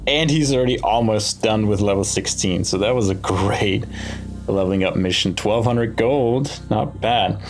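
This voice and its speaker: A man giving casual gameplay commentary, thinking aloud in short, musing phrases with pauses between them. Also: lowish voice. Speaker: let's play host. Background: game music.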